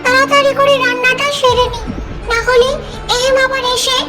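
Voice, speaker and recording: Very high-pitched young wife. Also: female voice, little pitch movement, moderate pace. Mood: cheerful. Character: sweet, devoted young wife in doll soap. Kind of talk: amateur doll-drama acting. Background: loud music.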